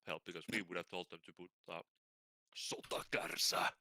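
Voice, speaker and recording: A man with a Finnish accent, a native Finnish speaker, teasing his friends in a playful, deadpan way during a gaming stream. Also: low voice, moderate pace. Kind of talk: casual chat while gaming.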